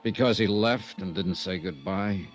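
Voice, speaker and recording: A man playing a sharp, persistent private investigator in a 1950s radio drama, with clipped questions and dry narration. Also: sits low, a little slow. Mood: pressing. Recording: dull vintage radio recording with music.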